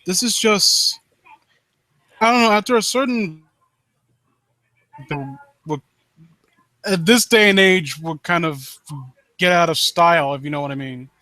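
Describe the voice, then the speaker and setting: A man hosts a casual critique session with a sharp, bright voice that sits slightly high. He is sarcastic and foul-mouthed, slipping between reading aloud and riffing. The sound is close and dry. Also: measured pace, long pauses, dry recording, rough recording. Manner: sarcastic, mocking, sweary. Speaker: host reading and critiquing horror stories live. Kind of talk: casual riffing commentary with read-aloud passages.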